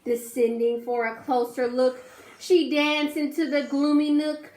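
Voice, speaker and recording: A woman's voice: high-pitched, little pitch movement, a little slow, darkish tone. A woman teacher reading a picture book aloud to children, coaxing them to count along and asking them questions. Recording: roomy echo.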